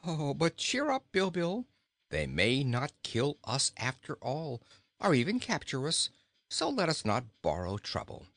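An irrepressibly cheerful storybook king, put on by a man, lifted high and swooping merrily up and down. He teases in a chuckling, singsong way. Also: wildly swooping pitch, a little slow, fairly bright tone, no echo, full character voice. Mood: cheerful, teasing. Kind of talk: storybook character voice.

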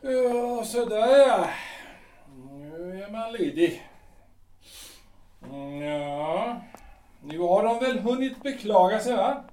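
A man acts out a sardonic, bitter middle-aged artillery captain in an audio drama. His voice sits high for a man, and he speaks with biting mockery. The sound is roomy and a little distant from the mic. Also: expressive, pauses often, mellow. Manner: mocking, sardonic. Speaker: actor.

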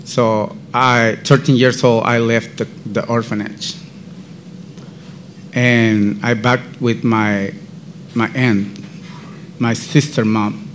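A man with a Central American Spanish accent telling his own faith story as a podcast guest, in halting, non-native English. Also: medium pitch, flat delivery, slight background noise. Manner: slow, deliberate. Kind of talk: personal faith testimony storytelling.

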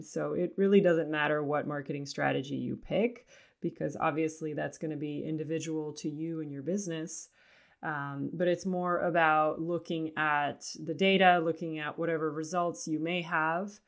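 A woman with a low-pitched voice, a touch raspy, hosts her own podcast alone. She talks in a loose, chatty way and laughs lightly between phrases. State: slightly raspy voice. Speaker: podcast host. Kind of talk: casual solo podcast talk.

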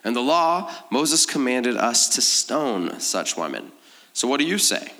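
A man's voice: sing-song, unhurried, pushed and bright. A man preaching to a congregation as a pastor, in a conversational, informal style with a teasing, self-deprecating manner. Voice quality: slightly deeper voice than usual. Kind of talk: conversational sermon.

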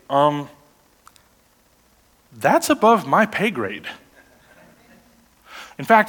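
A man preaching a sermon as pastor, animated and full of rhetorical questions to the congregation. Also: a little high.

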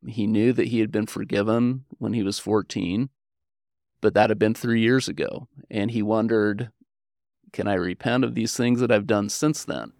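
A man speaks as a historian guest, explaining in a conversational way. His voice sits a bit low with a gravelly creak, and the sound is very dry, free of room echo.

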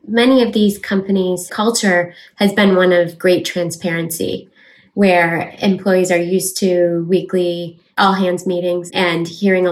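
Female corporate advisor for a panel discussion, voice a little on the low side. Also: poor audio. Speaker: lawyer advising companies, panelist.

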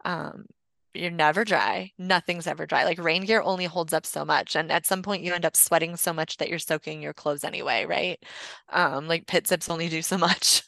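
Female podcast guest, forties, lowish bright voice, rambling conversational storyteller, close dry sound. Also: fairly even intonation, normal speed, gravelly, no echo. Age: 40s. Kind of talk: casual personal storytelling.

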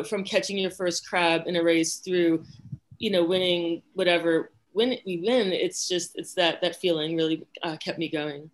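A woman from Western Massachusetts, a rowing coach, telling her story in a relaxed, rambling way with many fillers. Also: fairly low pitch. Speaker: rowing coach and club board member. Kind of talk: casual interview chat.

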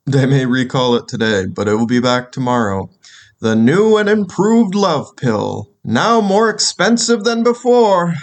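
An actor playing a cynical government official in an audio drama, with a dry, authoritative manner. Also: male voice, mid-range voice, animated, a little slow.

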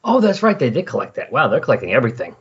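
Husky-voiced man chatting about comics. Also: medium pitch, wildly swooping pitch, very fast. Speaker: comics podcast host. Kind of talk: casual chat about comic books. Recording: dull, lo-fi recording.

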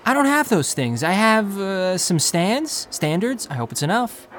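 A man acting the narrator of an audio drama, an earnest, self-doubting young man from an undercity slum, speaking in a halting, confessional, emotional way. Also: high voice, big pitch swings, a bit bright, a little hiss or hum. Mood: desperate pleading. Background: music bed.

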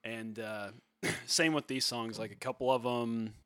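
A man in his thirties, a musician, chatting casually on a podcast in a soft, fairly high voice, rambling with lots of fillers and restarts. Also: steady tone, unhurried, smooth, no echo.

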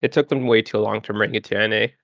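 A man's voice: on the low side, fairly even intonation, quick pace, vocal fry, fairly bright tone, no echo. A man, a podcast guest talking in a chatty, rambling way full of fillers. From Canada. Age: 20s. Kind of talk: casual gaming podcast chat.

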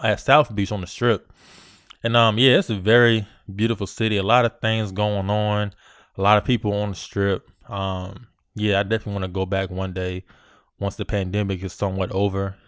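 A man hosting a casual podcast interview, relaxed and chatty with lots of fillers and friendly shout-outs. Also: fairly low pitch, normal speed, clear, resonant tone, no echo, studio quality. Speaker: podcast host. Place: Virginia.

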